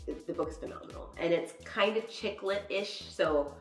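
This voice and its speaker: A woman's voice: mid-range voice, normal speed. A woman in her thirties from Dallas whose first language was Spanish, chatting casually as an interview guest, laughing often and trailing off mid-sentence. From Dallas, USA. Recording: echoey, from far off, faint music.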